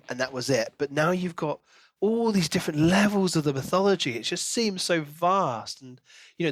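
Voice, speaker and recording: A man's voice: a little high, fairly bright tone, dry recording. A man co-hosting a podcast, chatting casually and enthusiastically about a book. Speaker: podcast co-host.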